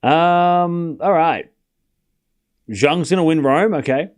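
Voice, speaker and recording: A man's voice: a little high, takes it slowly, clean tone. A man with an Australian accent, giving casual live tennis commentary and teasing his chat. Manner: teasing, boastful, talks straight to chat.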